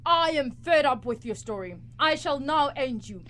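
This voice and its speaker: A woman's voice: high-pitched, animated, moderate pace, a bit bright. A young woman playing an exasperated, mock-heroic space officer in a live student radio comedy, with theatrical bluster. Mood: defiant. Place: college radio studio, live broadcast.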